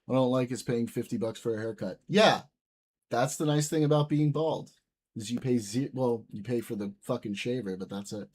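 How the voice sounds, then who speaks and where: A man's voice: mid-range voice, moderate pace, darkish tone. Casual, profane young male streamer. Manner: loose, profane banter with viewers. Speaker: livestream host reading chat. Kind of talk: casual live-stream chat.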